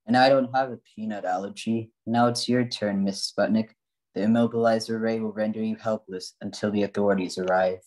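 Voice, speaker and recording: A man's voice: lowish voice, fairly even intonation, fairly quick, darkish tone, close to an everyday voice, poor audio. A man playing a stern, loyal robot sidekick in an amateur radio play, formal and declamatory. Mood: defiant. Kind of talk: amateur radio play acting, old-time radio parody.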